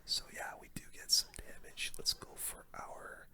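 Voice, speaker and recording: Whispering ASMR gaming host. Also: moderate pace. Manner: soft, relaxed whispering. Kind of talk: whispered ASMR gameplay commentary.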